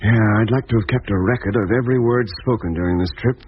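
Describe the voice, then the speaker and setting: Husky, low ship's doctor. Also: male voice. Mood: reflective. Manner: measured, conciliatory, reflective. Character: thoughtful, mild-mannered male ship's doctor, peacemaking and helpful. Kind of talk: 1950s radio drama acting. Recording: dull, muffled 1950s radio recording.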